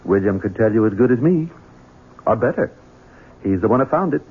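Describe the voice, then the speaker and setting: A muffled old radio drama gives us a sly Southern heir. His voice sits low and swings widely as he drawls with sardonic ease. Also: animated, fairly quick, slightly rough. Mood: sardonic, commanding. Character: scheming Southern heir, a sly bachelor son. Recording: muffled old radio broadcast, music behind.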